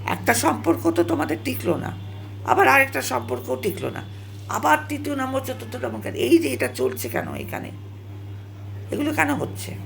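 Gravelly-edged woman with very lively pitch. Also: normal speed, a little hiss or hum. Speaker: vlogger. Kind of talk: opinionated monologue to viewers.

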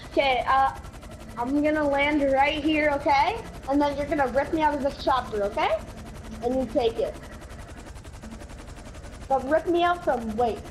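A young-sounding, high-pitched voice in casual game roleplay. The talk is halting, with repeated words and restarts, over faint background noise. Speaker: player in a multiplayer game roleplay. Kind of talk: casual improvised roleplay chat during gameplay.

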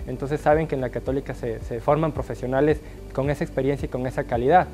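A young man from Guayaquil who speaks with an Ecuadorian highland accent, giving an earnest, upbeat testimonial in a small studio, often restarting his phrases. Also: fairly even intonation, fast talker, mellow, soft background music. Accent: Ecuadorian highland (serrano). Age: young adult. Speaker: alumnus interviewee. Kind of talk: sit-down testimonial interview.

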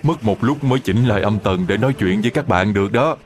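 A man's voice: on the low side, fast, lightly acted. A man voicing a polite, grateful flying whale in a children's cartoon, explaining things courteously, with music behind. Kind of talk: animated children's cartoon acting.

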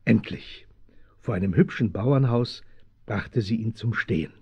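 Ein Schauspieler als Erzähler eines Abenteuerhörspiels für Kinder, mit dramatischem Vortrag. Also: male voice, fairly low pitch, unhurried, breathy edge, dull audio, full character voice. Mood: dramatic, urgent. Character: storytelling male narrator of children's adventure. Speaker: narrator. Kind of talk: dramatic scripted audio play narration.

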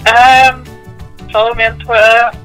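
A woman's voice: on the high side, flat delivery, measured pace, fairly bright tone, soft background music. A young woman chatting casually as a guest, heard over a call. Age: young adult. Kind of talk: casual chat. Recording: phone or internet call audio.

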